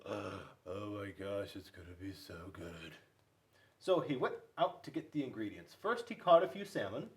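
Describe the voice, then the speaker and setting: A man reads aloud for young children in a playful, animated style. His medium-pitched voice rises and falls widely as he talks directly to his listeners. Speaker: school resource officer reading to children.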